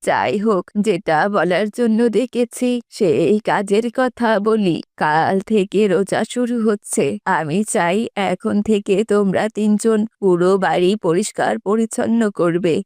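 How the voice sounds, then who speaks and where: A woman voicing a bossy elderly mother-in-law who scolds and commands with drawn-out words in a family cartoon. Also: medium pitch, expressive, a little mellow, no room sound, clean studio sound, voice pushed down, natural voice. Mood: stern, instructing. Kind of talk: Bengali family cartoon voice acting.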